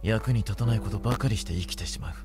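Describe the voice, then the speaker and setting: A man's voice: lowish voice, very fast, lightly acted. A man voicing a blunt, earnest workman mentor who makes solemn declarations in an anime visual novel. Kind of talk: Japanese visual novel voice acting. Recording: game dialogue over clear background music.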